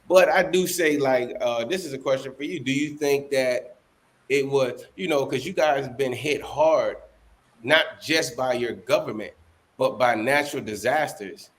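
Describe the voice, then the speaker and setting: A man's voice: medium pitch, a little slow. A man who is a guest in a casual interview, answering in an easygoing, hedging way with many fillers. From Dominican, born in the United States.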